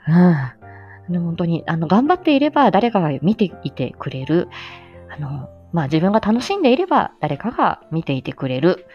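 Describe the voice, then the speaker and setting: A woman's voice: on the low side, very animated, a little fast, dull audio, faint music behind. A woman hosting a casual solo live stream, talking hesitantly and self-deprecatingly as she gropes for words. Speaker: host of a personal audio live stream. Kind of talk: casual solo chat to listeners.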